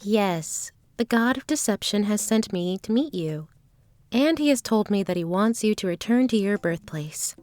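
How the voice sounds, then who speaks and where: A woman playing an earnest, guarded traveling performer with formal, measured phrasing in a fantasy audio drama. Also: medium pitch, lively intonation, a little mellow, no echo, close to an everyday voice. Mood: composed, explaining.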